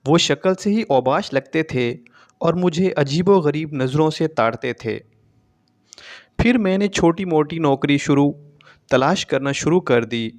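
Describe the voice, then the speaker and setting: A man's voice: on the high side, animated, measured pace. A man reading a scripted, emotional first-person story as a narrator.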